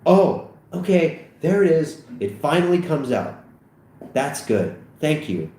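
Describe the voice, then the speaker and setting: A man's voice: fairly high pitch, very animated, slow, warm tone, slight background noise, pitched above the performer's own voice. A man voicing a fed-up, sarcastic teenage boy with an indignant, cutting delivery. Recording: echoey room.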